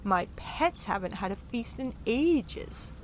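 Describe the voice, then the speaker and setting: A woman's voice: fairly high pitch, big pitch swings, takes it slowly, very noisy. A woman playing a haughty, savage countess in a sci-fi audio drama, languid, mocking and menacing. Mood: sly menace. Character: haughty, savage countess, cool sardonic schemer. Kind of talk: full-cast sci-fi audio drama acting.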